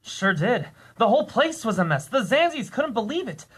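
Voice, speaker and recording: Actor doing a flustered little round alien, stuttery and excitable, pitch swinging all over, radio play. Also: moderate pace, husky. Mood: excited, breathless. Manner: stammering, repeating words in agitation. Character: small portly nervous alien sidekick, flustered and excitable. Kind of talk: old-time radio drama acting.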